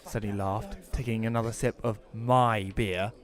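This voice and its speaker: A man's voice: on the low side, steady tone, unhurried, lightly acted. A man playing an awkward, apologetic widower telling his story in an audio drama, with a hesitant, self-conscious manner. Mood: storytelling. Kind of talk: first-person audio drama narration and dialogue.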